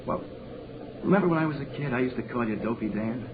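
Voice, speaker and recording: An American actor playing an earnest, desperate, lovesick young man, halting and colloquial, in 1940s radio drama style. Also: medium pitch, lightly acted. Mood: sheepish, apologetic. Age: young adult. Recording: noisy, tinny 1940s radio broadcast.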